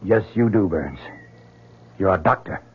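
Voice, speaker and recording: An actor playing a fervent, haunted Southern soldier in an old-time radio drama, speaking in a halting, earnest way with a husky voice. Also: male voice, low-pitched, lively intonation, exaggerated voice. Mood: confident, scheming. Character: fervent Southern soldier, haunted man sure of his miracle. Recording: dull lo-fi old radio recording.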